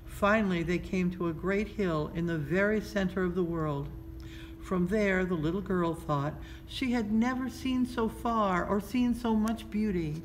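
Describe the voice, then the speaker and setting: A woman's voice: medium pitch, a little slow. A woman narrating a children's storybook expressively as a story reader. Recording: clearly noisy background ambience.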